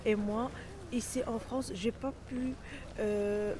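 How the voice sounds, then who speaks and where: A middle-aged woman, a native Arabic speaker, answering interview questions haltingly in non-native French, searching for words. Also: on the high side, steady tone, a crowd in the background. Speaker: interviewee, home care worker.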